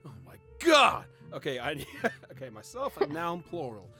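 An excitable game host running a word game in casual banter with friends. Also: medium pitch, normal speed, over loud music. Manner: excitable, exclaiming.

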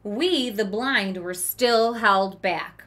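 A woman in her thirties reading a picture book aloud to children in a deliberate, emphatic storytelling manner, pausing to explain things as a teacher would. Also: mid-range voice, a bit bright. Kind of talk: expressive children's read-aloud with explanatory asides. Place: small room with children's book shelves.

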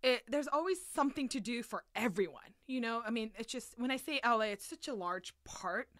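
A woman in her thirties chatting casually as an interview guest, retelling things in an animated way with quoted asides. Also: a little high, fairly quick, no echo, clean studio sound. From South Korea and Los Angeles area. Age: 30s. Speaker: podcast guest, museum educator.

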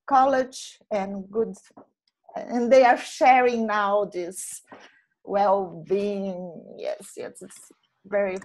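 Slow-spoken Brazilian woman on a video call. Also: fairly high pitch, low-quality recording. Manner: slow, warm laughter between phrases. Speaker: meeting host and teacher. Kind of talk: casual video-call talk. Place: home room. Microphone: earphone headset mic on a video call.

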